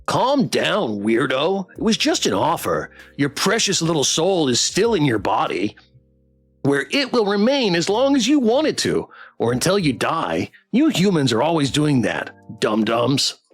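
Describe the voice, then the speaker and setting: A man's voice: a little high, sing-song, measured pace, slightly rough, soft background music, heavily acted. A man voicing a condescending, blunt talking plushie toy in a visual novel game. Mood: snippy, mocking. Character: snippy, condescending talking plushie toy pet with big eyes. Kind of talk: visual novel character read aloud.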